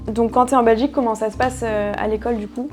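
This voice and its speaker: A woman's voice: a little high, steady tone, fast, over music. A woman interviewing a guest, asking curious questions in a casual way.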